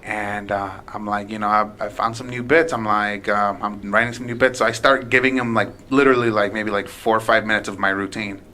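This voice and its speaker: Bright-voiced rambling guy in his late twenties. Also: fairly low pitch, fairly even intonation, fairly quick, hardly any pauses, a little hiss or hum. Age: late 20s. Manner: rambling, profane, many restarts. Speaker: podcast guest, aspiring comedian. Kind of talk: casual storytelling chat.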